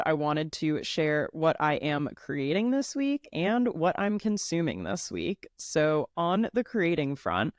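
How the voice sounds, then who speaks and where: A woman's voice: low-pitched, no room sound, studio quality. A woman in her thirties hosting a casual solo podcast, chatty and upbeat, sounding a little congested. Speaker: podcast host.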